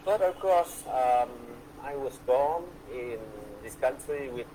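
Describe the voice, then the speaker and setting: Middle-aged vietnamese guy on a video call, talks real slow, lots of uhs and you knows, faint background hiss. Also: medium pitch, mellow, low-quality recording. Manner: slow, hesitant, many fillers and repeats. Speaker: guest poet. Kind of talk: relaxed conversational interview.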